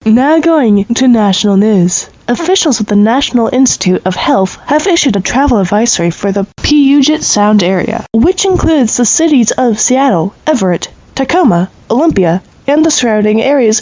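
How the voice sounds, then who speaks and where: A woman's voice: a little high, lively intonation, normal speed, warm tone, no echo. An actress playing a formal, composed female news anchor reading a scripted bulletin. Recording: dull, slightly muffled sound.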